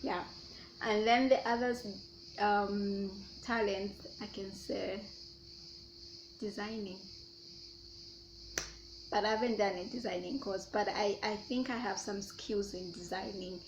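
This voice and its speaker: A woman's voice: mid-range voice, fairly even intonation, slow, long pauses, background noise. A young Zambian woman with a Zambian accent, chatting casually as she answers her own questions in a vlog. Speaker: YouTuber.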